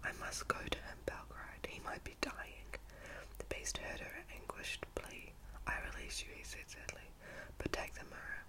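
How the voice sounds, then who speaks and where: A woman reading a storybook as ASMR in a breathy, unvoiced whisper very close to the microphone, now and then stumbling and repeating words. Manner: halting read with stumbles and repeats.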